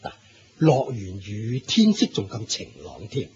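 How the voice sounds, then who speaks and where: A man playing an amiable older Indian villager in a radio drama, chatty, folksy and expressive. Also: lowish voice, measured pace. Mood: cheerful, agreeable. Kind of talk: Cantonese radio drama acting. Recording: dull, noisy old radio recording.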